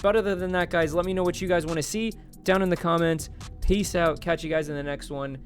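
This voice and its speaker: Male game reviewer, slightly higher register, colloquial and jokey commentary over music. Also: fairly even intonation, fairly quick. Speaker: gaming video host reviewing a mod.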